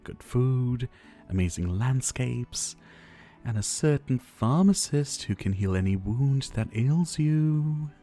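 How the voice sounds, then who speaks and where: A man playing a gentle, ailing pharmacist in an ASMR roleplay, soft-spoken and courteous, with wistful, theatrical phrasing. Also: mid-range voice, lively intonation, slow pace, soft background music. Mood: warm, playful. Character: gentle, ailing male pharmacist, courteous, earnest, devoted healer. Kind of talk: ASMR roleplay addressed to the listener.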